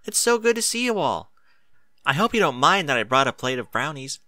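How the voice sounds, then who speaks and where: A man's voice: on the high side, very animated, fairly quick, sharp tone, dry recording, voice pushed up. A man voicing a kindly, gracious female schoolteacher pony with a polite, cheery manner in a story.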